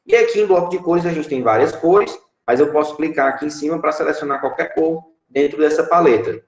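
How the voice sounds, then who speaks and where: A man's voice: a little high, fairly quick, muffled recording. Brazilian man teaching over video call. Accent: Brazilian Portuguese. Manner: explanatory, frequent filler "é" and tag "certo?". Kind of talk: online class screen-share tutorial. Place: home room, indoors. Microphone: webcam video call. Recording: echoey room.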